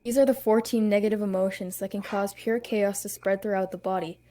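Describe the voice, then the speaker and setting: A woman's voice: medium pitch, steady tone, fairly quick, mellow. A woman narrating a scripted drama as part of a table read.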